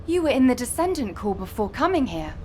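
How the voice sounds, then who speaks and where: A woman voicing a cheerful, talkative young female soldier in a video game, teasing and expressive. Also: fairly high pitch, fairly quick, a little hiss or hum. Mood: upbeat, chatty. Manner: chatty, playfully exasperated.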